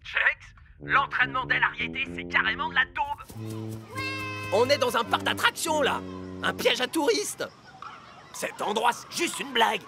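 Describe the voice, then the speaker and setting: A man's voice: very high-pitched, huge pitch swings, measured pace, gravelly, long pauses, music in the background, full character voice. A man voicing a skeptical, grumbling young soccer defender in a French cartoon dub, speaking bluntly and colloquially. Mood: scornful.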